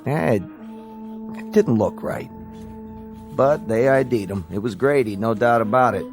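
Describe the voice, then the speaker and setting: An actor playing an earnest, brooding newspaper columnist in a radio drama, matter-of-fact and pensive. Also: male voice, medium pitch, a little slow, vocal fry, music in the background.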